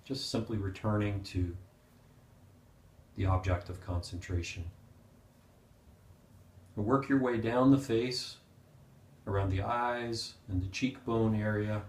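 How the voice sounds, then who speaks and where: A man leading a guided meditation as a teacher, speaking gently and unhurriedly with pauses. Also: fairly low pitch. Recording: roomy, echoing sound.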